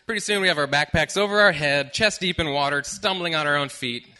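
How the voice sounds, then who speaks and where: Man, bright clear tone, live storytelling, lively, amused. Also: on the high side, fairly quick. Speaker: storyteller on stage.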